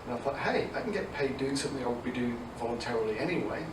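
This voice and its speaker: A man in his forties from Dublin with an Irish accent softened by English, answering interview questions conversationally as a youth ministry director. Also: mid-range voice, expressive, very fast. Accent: Irish mixed with English. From south Dublin, Ireland. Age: 40s. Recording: echoey, distant mic, noisy room.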